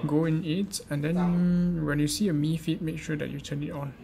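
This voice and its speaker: A man's voice: a little high, level tone, moderate pace, a crowd in the background. A man giving an informal step-by-step gadget tutorial in a hesitant, repetitive way. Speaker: presenter of a gadget how-to guide. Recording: clearly noisy amateur recording.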